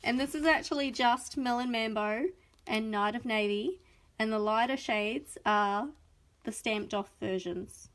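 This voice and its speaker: Bubbly Australian woman host, fairly high voice, gushing, slightly sniffly with a cold. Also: a little slow, fairly bright tone. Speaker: craft video host. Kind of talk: chatty live unboxing.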